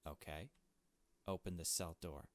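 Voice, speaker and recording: A man's voice: low voice, fairly even intonation, unhurried, heavy background noise. A man hosting a gaming video with casual let's play commentary, making joking asides and muttering to himself.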